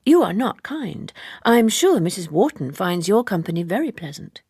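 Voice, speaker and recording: A woman playing a polite, earnest young female typist in an audiobook, inquiring and gently reproving. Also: mid-range voice, very animated, normal speed, husky, no echo, clean studio sound, full character voice.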